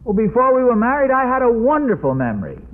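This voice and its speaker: A man's voice: very high voice, wildly swooping pitch, fast, full character voice. An American man playing a forgetful, stubborn middle-aged husband in a vintage radio sitcom, hesitant and earnestly explaining his odd logic. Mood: defensive, stubborn. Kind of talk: 1940s-50s radio sitcom acting. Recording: tinny, noisy old radio recording.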